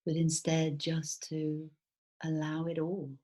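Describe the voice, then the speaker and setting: Female meditation guide, low and breathy, soft and soothing, very unhurried delivery with long pauses. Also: completely flat delivery. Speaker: mindfulness teacher. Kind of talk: guided body scan meditation.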